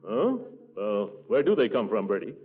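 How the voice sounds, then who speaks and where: An actor playing a pompous, fussy middle-aged uncle who blusters and gets flustered, in 1940s radio comedy style. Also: male voice, on the low side, sing-song, moderate pace, breathy edge. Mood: fussy, wary. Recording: muffled, tinny 1940s radio recording.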